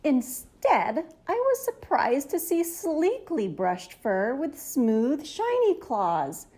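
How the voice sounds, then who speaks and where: A woman's voice: high-pitched, wildly swooping pitch, takes it slowly, mellow. A woman reading a children's story aloud in an enthusiastic, dramatic narrating style. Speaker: storybook reader.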